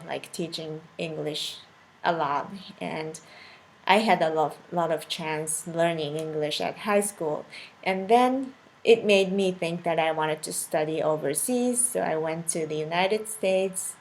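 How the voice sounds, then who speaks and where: A woman in her thirties from Japan with a Japanese accent, chatting casually with viewers on a live stream, full of "you know" fillers and laughing often. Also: lowish voice. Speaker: cooking channel host on a live stream.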